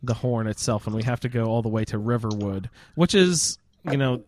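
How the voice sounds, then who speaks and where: A man's voice: medium pitch, lively intonation, moderate pace, darkish tone. A man hosting a casual roundtable chat about a video game, steering the group with questions. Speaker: podcast host moderating a roundtable.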